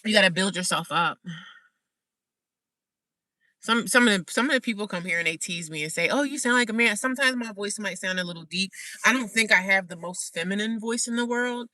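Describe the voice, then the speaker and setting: An American woman with a medium-pitched voice talks casually to her livestream viewers. She is informal and conversational, often circling back and repeating phrases to drive them home. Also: a little fast, pushed and bright, no room sound. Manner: informal, repeats phrases for emphasis, reads and answers viewer comments. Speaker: vlogger, livestream host.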